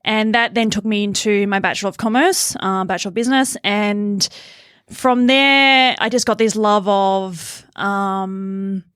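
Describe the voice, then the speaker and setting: A woman's voice: mid-range voice, fairly even intonation, measured pace, fairly bright tone, smooth, no echo, clean studio sound. A middle-aged Australian woman from Tasmania, telling her story casually as an interview guest. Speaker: podcast guest, accountant and business owner.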